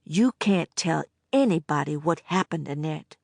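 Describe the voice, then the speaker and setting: A woman voicing a calm, casual young woman who speaks evenly and matter-of-factly in an audiobook. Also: sits low, moderate pace, creaky, dry recording, clean studio sound, heavily acted. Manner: flat, even, matter-of-fact. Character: cool, casual young woman, calmly unrepentant. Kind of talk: audiobook character voice.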